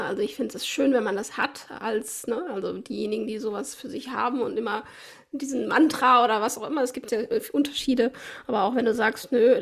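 A woman's voice: fairly high pitch, creaky, breathy edge. A woman hosting a casual podcast interview, laughing often and speaking encouragingly. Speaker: podcast host.

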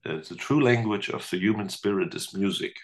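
A man's voice: on the low side, normal speed. A man, a guest on a podcast, thinking aloud hesitantly and making jokes. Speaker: podcast guest, teacher and musician. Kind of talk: casual interview chat.

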